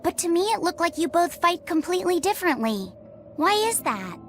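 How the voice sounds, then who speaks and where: A woman voicing a gentle, curious young boy in a video game, earnest and polite. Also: very high voice, very animated, brisk, slight background noise, exaggerated voice. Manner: earnest, questioning. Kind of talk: English video game voice acting. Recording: game music under the voice.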